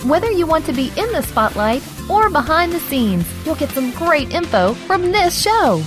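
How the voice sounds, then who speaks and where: A woman's voice: sits high, sing-song, normal speed, music in the background. A woman reading an upbeat scripted intro for a kids' show. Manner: upbeat, announcing. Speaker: show announcer.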